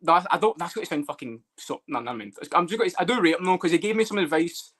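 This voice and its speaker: A man's voice: high-pitched, fast, fairly bright tone. A young Scottish man chatting casually as a stream guest, swearing freely and teasing. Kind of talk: casual chat.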